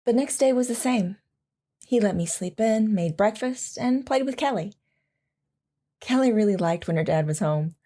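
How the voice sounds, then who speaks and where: A woman playing a devoted military wife in an audio drama, gently and wistfully recalling life with her husband. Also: mid-range voice, animated, normal speed, natural voice. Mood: fond, reflective. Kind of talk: audio drama acting, reminiscing narration.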